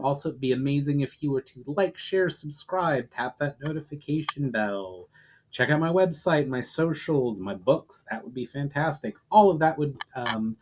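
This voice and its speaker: A man's voice: medium pitch, a little mellow. A man who hosts a story session as an author, presenting and reading his own fantasy writing aloud. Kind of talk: informal introduction, then dramatic story narration.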